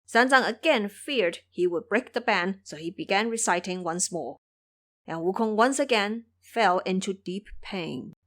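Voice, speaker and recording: Woman, narrator, mid-pitched voice, scripted storytelling, audio drama. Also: a little slow, fairly bright tone.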